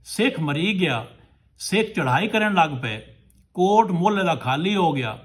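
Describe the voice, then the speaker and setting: Higher-voiced man telling a folk tale. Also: expressive, unhurried. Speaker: storyteller, host of a folk tale series. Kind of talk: oral folk tale storytelling, addressing listeners.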